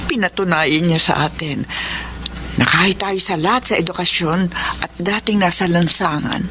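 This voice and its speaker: A woman's voice: lowish voice, moderate pace, sharp tone, a little hiss or hum. An actress playing a humble, grateful, devout poor mother in a Filipino radio drama. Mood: thankful.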